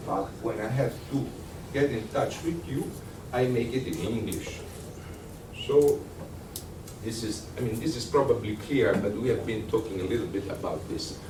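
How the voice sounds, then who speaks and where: A man with an Italian accent giving a workshop lecture, conversational and halting, with many fillers and restarts. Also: fairly low pitch. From Italy. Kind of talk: workshop lecture with audience questions. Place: lecture room. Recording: echoey room, distant mic, noisy.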